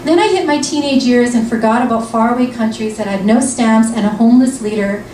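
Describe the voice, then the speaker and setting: Chatty woman host, high voice, roomy. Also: brisk, warm tone. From Vancouver, Canada. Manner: chatty, lots of ums and restarts. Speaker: event host and author. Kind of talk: casual event introduction and book reading. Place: lounge event room, indoors. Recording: roomy lounge, mic far off, noisy.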